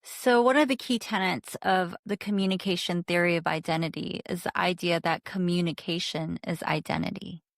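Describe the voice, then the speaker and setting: A woman co-hosting a podcast, explaining ideas in a measured academic way and asking probing questions. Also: on the low side, fairly even intonation, creaky, dry recording, studio quality. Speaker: podcast co-host. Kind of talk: academic interview conversation.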